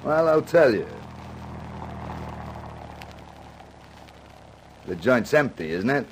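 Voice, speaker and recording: A man's voice: medium pitch, sing-song, moderate pace, slight background noise, close to an everyday voice, low-quality recording. An actor playing a menacing, impatient racketeer in a radio crime drama, with an American accent and curt, slangy tough-guy talk. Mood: grim. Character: menacing hard-boiled racketeer, tough and impatient gangster boss.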